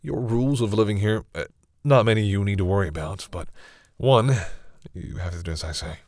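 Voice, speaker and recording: A man playing a proud vampire captor who is awkwardly softening, speaking hesitantly and condescendingly to the listener in an intimate audio roleplay. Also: fairly low pitch, fairly quick, no room sound, clean studio sound, natural voice. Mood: instructive, stern. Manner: hesitant, sighing, wryly condescending toward humans.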